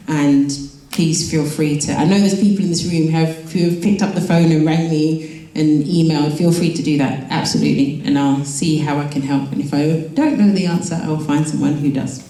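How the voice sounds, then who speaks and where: A woman's voice: deep voice, mellow. A woman who manages a council education team, giving a reassuring community talk full of ums. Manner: reassuring, repeats herself, many ums. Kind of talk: community presentation. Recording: echoey hall.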